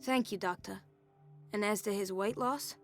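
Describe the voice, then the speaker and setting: An actress playing a dutiful, discreet hospital nurse in a video game, deferential and earnest. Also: female voice, mid-range voice, moderate pace, faint music behind, lightly acted. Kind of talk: video game cutscene acting.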